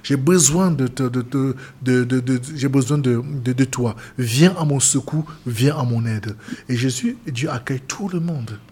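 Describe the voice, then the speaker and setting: Husky-voiced pastor guy from cameroon, earnest, keeps restarting his words. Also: mid-range voice, moderate pace, warm tone. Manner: earnest, repetitive, stumbles and restarts. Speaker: seamen's chaplain, pastor. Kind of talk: radio interview guest.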